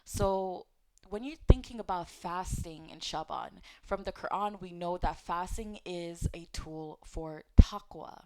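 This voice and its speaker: Casual, lower-voiced woman teaching faith. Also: a little slow, background noise. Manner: conversational, with fillers and self-corrections; gently encouraging, speaks directly to viewers. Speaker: host of an Islamic teaching channel. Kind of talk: casual religious teaching talk.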